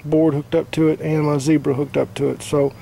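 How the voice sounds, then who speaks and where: Gravelly, flat-voiced repair technician. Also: male voice, fairly high pitch, brisk, a little hiss or hum. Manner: plain-spoken, talks through each step with fillers. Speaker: HVAC technician. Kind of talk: casual hands-on repair demonstration. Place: outdoors beside an air-conditioning unit.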